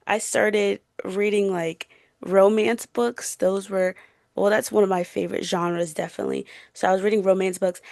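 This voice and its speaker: A woman's voice: medium pitch, vocal fry, a little mellow, dry recording, clean studio sound. A woman of about twenty co-hosting a podcast, chatting casually in a giggly, slangy way with lots of filler words. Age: around 20. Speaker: podcast co-host. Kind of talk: casual chat.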